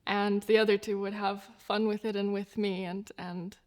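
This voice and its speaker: A woman's voice: medium pitch, little pitch movement, moderate pace. A woman in her twenties, a violinist raised in the United States, speaking reflectively in a documentary interview and laughing often. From New Zealand, raised in the United States. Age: 20s.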